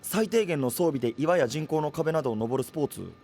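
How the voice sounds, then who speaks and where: A man's voice: a little high, animated, a little fast, darkish tone, soft background music. A man playing an earnest, simple-minded young hero in an audio drama, speaking in a blunt, naive, boyish way. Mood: naive, curious. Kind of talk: anime-style audio drama acting.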